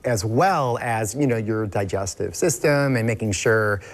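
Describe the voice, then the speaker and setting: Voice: medium pitch, measured pace. A TV show host losing their voice, asking conversational questions in a studio. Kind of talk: TV interview hosting.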